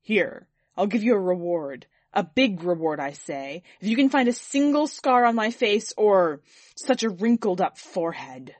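A woman voicing a vain, pompous, quick-tempered lordly master in a story, speaking with indignant emphasis. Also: medium pitch, sing-song, darkish tone, no room sound. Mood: angry, demanding.

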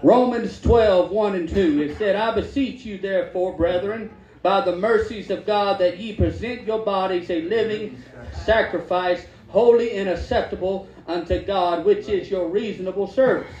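A man's voice: high voice, moderate pace, darkish tone. A man preaching a fervent Pentecostal sermon, exhorting emphatically and repeating phrases while the congregation calls back. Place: church hall during a service. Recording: echoey hall with congregation responding. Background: congregation calling out responses.

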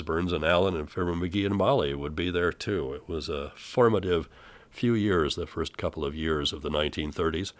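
A man's voice: deep voice, a bit bright, no room sound. A man hosting a radio program with scripted commentary, introducing vintage broadcasts. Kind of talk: relaxed scripted commentary on old-time radio.

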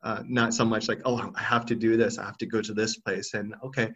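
A man in his thirties, originally from Thailand, answering interview questions thoughtfully with frequent pauses and restarts. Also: lowish voice, level tone, fairly bright tone, husky, highs cut off. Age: 30s. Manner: thoughtful, hesitant, many restarts and fillers. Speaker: podcast guest.

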